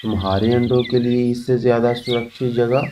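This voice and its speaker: A man's voice: mid-range voice, steady tone, fairly quick, distant mic, background noise, lightly acted. A man voicing a greedy, scheming eagle who blusters and feigns friendliness in a children's cartoon. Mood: falsely friendly. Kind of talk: Hindi children's cartoon voice acting.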